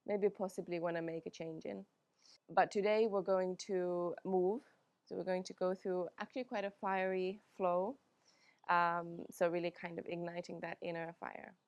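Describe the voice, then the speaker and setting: A woman whose first language is Finnish, teaching a yoga class in a calm, unhurried way with frequent pauses and "um"s. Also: on the low side, clear, resonant tone, no echo. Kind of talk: guided yoga class instruction.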